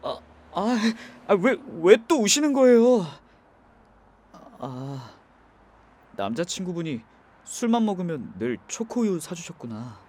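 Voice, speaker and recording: A young man voicing a kind, soft-spoken driver in an ASMR audio drama, talking gently and comfortingly. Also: sits high, very animated, takes it slowly, heavily acted. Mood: gentle, comforting.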